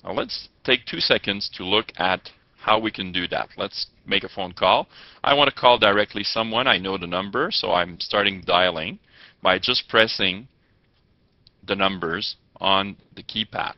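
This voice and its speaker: A man giving step-by-step tutorial narration as an instructor, with halting delivery and formal wording. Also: low voice. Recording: muffled, echoey lo-fi room recording.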